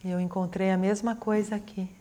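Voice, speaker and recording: A woman interpreting a spoken talk phrase by phrase. Her voice is flat and mellow, and her delivery stays level and plain. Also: mid-range voice, fairly quick. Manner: even, steady, unemotional delivery. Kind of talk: consecutive interpreting.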